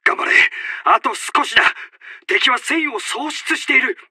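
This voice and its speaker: An actor playing a commanding, urgent Japanese army officer who barks out short battle announcements in a military video game. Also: male voice, very high-pitched, moderate pace, sharp tone, slightly rough, no room sound, clean studio sound, exaggerated voice. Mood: rousing, triumphant.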